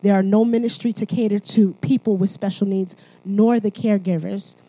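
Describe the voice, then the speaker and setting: A woman's voice: medium pitch, fairly even intonation, moderate pace, mellow, dry recording, poor audio. A woman from Haiti speaking at church, mixing sermon and personal testimony in a conversational, emphatic manner, often checking in with listeners.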